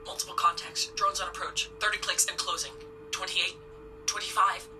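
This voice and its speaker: A woman's voice: fairly low pitch, steady tone, moderate pace, reverberant, music in the background, lightly acted, rough recording. A woman playing an urgent, clipped military scanner operator in a sci-fi war audio drama. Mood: tense.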